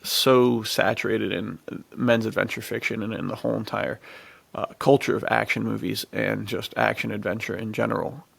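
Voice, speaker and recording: A man's voice: on the low side, level tone, normal speed, creaky, a bit bright, husky, no echo. A man co-hosting a podcast, talking casually and informally with slangy phrasing. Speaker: podcast co-host, author. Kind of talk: casual chat.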